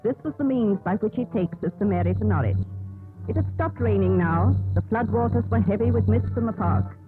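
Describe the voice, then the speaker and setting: A man's voice: high-pitched, fairly quick. A man narrating a scripted 1950s radio drama. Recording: muffled 1950s radio recording with music.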